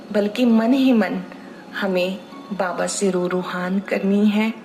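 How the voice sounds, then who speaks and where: A woman guiding a meditation as a spiritual teacher, speaking in an unhurried, devotional and instructive manner. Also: medium pitch, a little mellow, slight background noise, rough recording. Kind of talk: guided meditation commentary.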